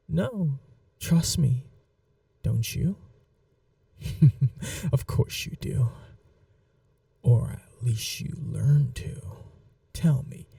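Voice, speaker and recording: A possessive vampire mistress, acted by a woman for an audio roleplay, speaks very softly and darkly with a gravelly creak. She drawls slowly and coaxes with condescending, sweetly cruel amusement, often dropping to a whisper. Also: low-pitched, dry recording, studio quality, far from the mic, full character voice. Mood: quietly menacing. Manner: condescending, purring, coaxing like an owner to a pet. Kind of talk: ASMR roleplay, slow intimate monologue.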